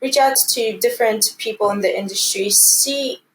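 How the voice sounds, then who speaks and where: A woman's voice: fairly high pitch, normal speed, slight background noise, rough recording. A woman in her thirties from Armenia, speaking earnestly and encouragingly as she answers interview questions conversationally. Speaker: interview guest, commercial real estate team lead.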